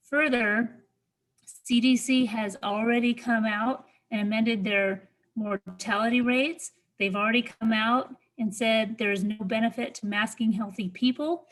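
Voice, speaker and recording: A woman's voice: a little high, rough recording. A woman making an emphatic, argumentative public comment at a council meeting.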